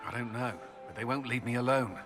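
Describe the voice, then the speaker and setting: An actor playing a stern but socially awkward military commander in a video game, speaking in a clipped, earnest way. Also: male voice, mid-range voice, a little fast, lightly acted. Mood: flustered. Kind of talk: video game dialogue acting. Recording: clear music behind the voice.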